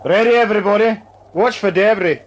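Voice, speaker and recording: A man playing an alert demolition engineer calling out crisp orders in a vintage radio drama. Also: high voice, a little fast, a little hiss or hum, natural voice. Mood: alert, commanding.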